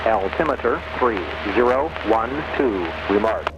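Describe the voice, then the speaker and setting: An automated male voice reading airport weather in clipped, measured phrases. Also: lowish voice, expressive. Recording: muffled radio broadcast, engine noise.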